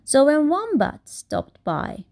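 Slow, lilting woman reading a picture book. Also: high-pitched, wildly swooping pitch, a little mellow. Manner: deliberate, theatrical emphasis on key words. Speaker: storybook reader. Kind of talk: expressive read-aloud of a children's picture book.

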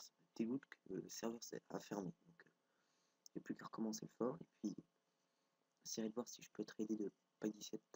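Young man with a head cold, husky, dark-toned, hesitant gameplay talk, dry close sound. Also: on the low side, no echo, low-quality recording. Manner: thinks aloud, frequent fillers and hesitations. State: has a cold, stuffy nose. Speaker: gameplay video creator. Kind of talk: casual commentary while building in a game.

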